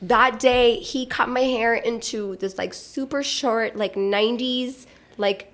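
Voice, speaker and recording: A woman's voice: fairly high pitch, slow pace, a crowd in the background. A woman who grew up in Pakistan, a podcast guest telling stories in casual chat, full of "like" fillers and laughing as she talks.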